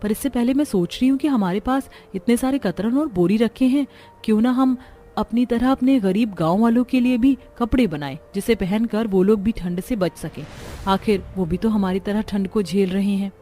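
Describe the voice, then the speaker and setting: A woman's voice: mid-range voice, moderate pace, warm tone, close to an everyday voice. A woman voicing a kind, resourceful young village daughter-in-law in a cartoon story, earnest and caring.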